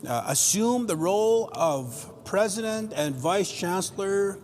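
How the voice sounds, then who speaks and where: A man's voice: sits high, lively intonation, unhurried, a little mellow, in a large hall, a little hiss or hum. A man hosting a virtual graduation ceremony, introducing guests in an earnest, unscripted way with many fillers and restarts. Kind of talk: spoken introduction at a virtual convocation.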